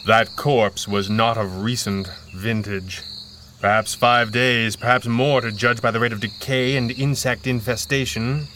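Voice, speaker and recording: A deliberate pulp mystery hero. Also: male voice, mid-range voice, fairly even intonation, bright, forceful tone, slight background noise. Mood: analytical, measured. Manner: deliberate, formal, deductive. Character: calm, analytical masked man of mystery, 1930s pulp hero. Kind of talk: 1930s radio adventure serial acting.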